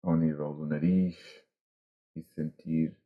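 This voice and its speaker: A man with a European Portuguese accent, a monk guiding a meditation gently and haltingly, with long pauses, over a video call. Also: very deep voice, little pitch movement. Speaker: Buddhist monk, meditation teacher. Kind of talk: guided meditation instruction. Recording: muffled, dull online call audio.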